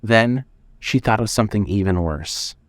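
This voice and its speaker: A man's voice: on the low side, normal speed, no room sound. A man narrating scripted suspense fiction as the storyteller of an audio drama.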